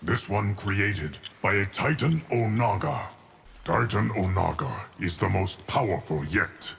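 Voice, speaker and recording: A man playing a solemn, stern immortal guardian of time in a video game, speaking formally in a deep, gravelly voice. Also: animated, unhurried, fairly bright tone, a little hiss or hum, exaggerated voice. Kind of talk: video game character dialogue.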